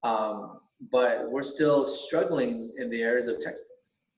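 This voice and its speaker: Middle-aged male teacher, Vietnamese first language, earnest and halting, recorded in a reverberant room. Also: medium pitch. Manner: halting, many restarts. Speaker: teacher and school board candidate. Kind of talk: earnest interview talk. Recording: roomy echo.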